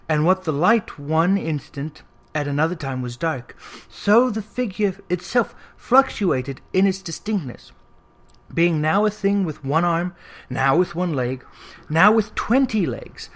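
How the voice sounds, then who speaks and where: A man narrating a literary story from a script in a measured, expressive storytelling manner. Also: fairly high pitch. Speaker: audiobook narrator.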